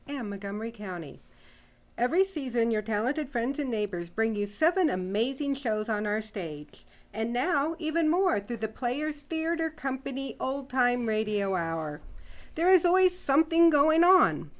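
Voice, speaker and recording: A woman announcer reading scripted promotional narration for a community theater. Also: on the high side.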